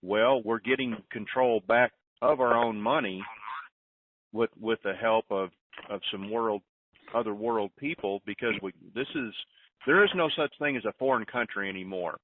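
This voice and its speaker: Male caller, folksy and halting, lowish pitch, sounding far off on a narrow phone line. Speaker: conference call participant. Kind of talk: casual opinion-giving. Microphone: telephone. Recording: distant, muffled tinny phone line.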